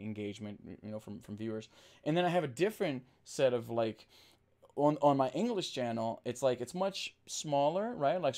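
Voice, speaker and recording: A man from Brazil whose first language is Portuguese, chatting casually on a livestream and reading out chat, rambling with restarts and fillers while talking deliberately slower. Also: medium pitch, a little mellow. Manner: trained to talk slower on camera.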